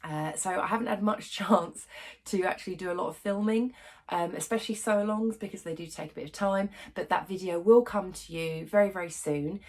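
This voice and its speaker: A woman's voice: on the low side, fairly quick. A young woman hosting her sewing channel, chatting to camera with a stuffy nose from a cold, full of ums and little laughs. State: congested from a cold. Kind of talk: casual chat to camera.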